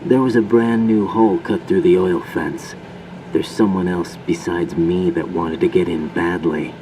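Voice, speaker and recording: Deep, distant-sounding covert field agent. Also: male voice, steady tone, measured pace. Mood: terse, alert. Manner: terse, matter-of-fact. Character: cool, confident male special forces infiltrator. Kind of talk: radio report, video game acting. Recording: distant, heavy humming ambient noise.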